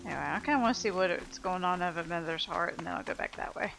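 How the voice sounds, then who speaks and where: A woman's voice: on the low side, quick pace, vocal fry, background noise. A woman giving casual, off-the-cuff commentary as she plays a video game. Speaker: gaming video host. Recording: game music behind the voice. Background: game music.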